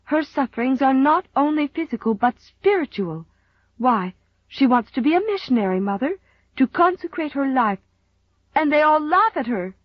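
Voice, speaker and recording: A woman's voice: medium pitch, moderate pace, husky, dry recording, dull audio, natural voice. A woman voicing an earnest, dramatic, indignant young girl with a gushing, emphatic manner, in a storybook reading.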